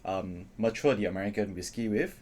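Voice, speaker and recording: A man's voice: fairly low pitch, brisk, a little mellow. A man co-hosting a casual chat show with a joking, teasing manner. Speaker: talk show co-host.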